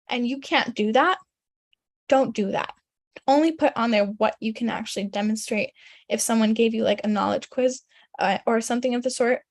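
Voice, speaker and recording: Young female career coach, first language German, loose and chatty with many fillers, slightly high voice. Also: no echo. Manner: chatty, lots of fillers, frequent throat clearing. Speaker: career coach presenting a resume webinar. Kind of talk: casual webinar presentation.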